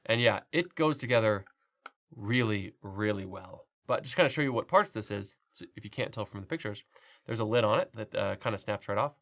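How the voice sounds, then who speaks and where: A man's voice: fairly low pitch, animated, brisk, a bit bright. Congested, chatty man with a cold. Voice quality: nasal. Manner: chatty, loose, with frequent fillers and restarts. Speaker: 3D printing channel host. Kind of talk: casual product review.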